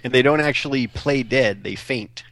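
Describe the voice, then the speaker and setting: A man's voice: medium pitch, normal speed, a bit bright. A man in a casual podcast chat, laid-back and matter-of-fact. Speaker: podcast co-host.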